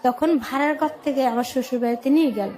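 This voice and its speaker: Radio guest, female, Bangladeshi accent, high voice, recounting her own life in simple, colloquial short phrases. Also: fast talker, dark tone. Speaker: guest telling life story. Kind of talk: personal life-story storytelling on radio.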